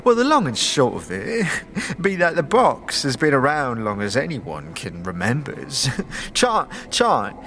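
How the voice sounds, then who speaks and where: A man playing a nervous, evasive city lowlife in a fantasy audio drama, stammering and rambling through his words. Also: huge pitch swings, a little slow, a bit bright, full character voice.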